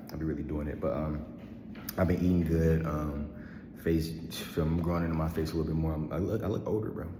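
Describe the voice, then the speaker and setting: Young man, very deep and flat voice, relaxed slangy vlog talk, echoey hall with loud background noise. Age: 20s. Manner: laid-back slangy rambling, frequent ums and laughs. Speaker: vlogger. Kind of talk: casual personal vlog monologue.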